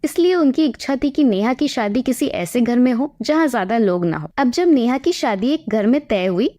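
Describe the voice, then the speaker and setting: Woman, storyteller, higher-pitched voice, scripted folk-tale narration. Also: warm tone.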